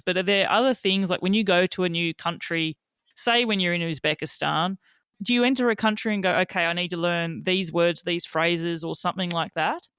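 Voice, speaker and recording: A man's voice: high voice, a bit bright, clean tone, no room sound, clean studio sound. A man hosting a podcast, asking curious, long, winding interview questions. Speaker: podcast host.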